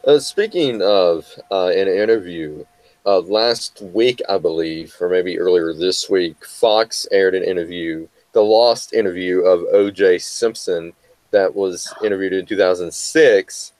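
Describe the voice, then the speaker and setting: A man's voice: low-pitched, a little slow, a little mellow, no room sound, poor audio. A young American man co-hosting a casual talk show, rambling with lots of fillers and restarts. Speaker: co-host. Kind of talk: casual chat.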